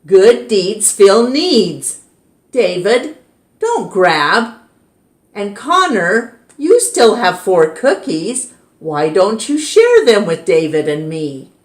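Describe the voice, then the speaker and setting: Earnest schoolboy peacemaker, read by a woman, slow, lively. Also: medium pitch. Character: sensible young schoolboy who keeps peace. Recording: roomy echo.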